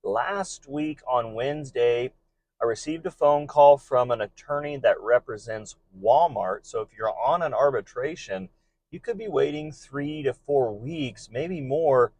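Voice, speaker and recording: A man with a medium-pitched voice talks informally, like a driver advising his peers in a vlog. He is earnest and encouraging, often restating his points. The sound is dry, with very little room in it. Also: unhurried, a little mellow, dry recording. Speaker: delivery driver giving advice to peers. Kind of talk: informal vlog advice monologue.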